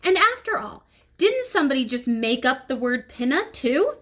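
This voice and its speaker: An earnest fifth-grade boy played by a woman reading aloud stands his ground in a high, swinging voice. He is a storybook kid, informal and a little hesitant, yet stubborn. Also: big pitch swings, normal speed, fairly bright tone, exaggerated voice. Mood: hesitant, defiant.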